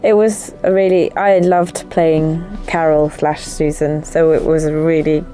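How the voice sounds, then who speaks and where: A woman's voice: sits low, little pitch movement, measured pace, dark tone, faint music behind. A woman speaking as an actress in a casual behind-the-scenes interview, chatty and enthusiastic.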